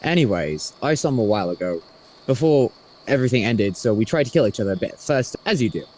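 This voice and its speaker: Man acting a lively, cocky scrapper. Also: medium pitch, fast talker, close to an everyday voice. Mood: cocky, casual. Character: cocky, unrepentant scrapper with wounded pride. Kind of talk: podfic audio drama acting.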